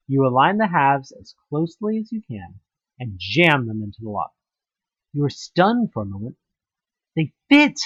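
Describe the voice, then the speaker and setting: A man's voice: medium pitch, no echo, lo-fi sound. A man reading a scripted second-person story as a narrator, with a theatrical, emphatic delivery that draws out words. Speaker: audio play narrator.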